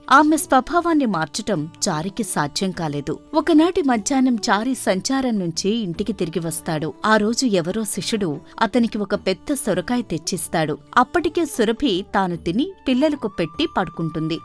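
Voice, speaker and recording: A woman narrating a scripted folk story as its storyteller. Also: medium pitch.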